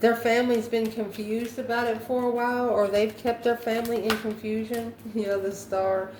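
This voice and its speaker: A woman's voice: a little high, level tone, normal speed, darkish tone. A woman doing an improvised tarot card reading, musing aloud and answering her own questions. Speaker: tarot reader, channel host. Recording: echoey room, faint background noise.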